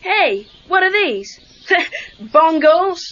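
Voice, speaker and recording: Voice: extremely high pitch, very animated, drawn-out words, fairly bright tone, exaggerated voice. A performer playing a skeptical teenage boy who is learning karate, talking informally and with some sarcasm in an audio drama. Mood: impressed, curious. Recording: muffled lo-fi read-along cassette tape.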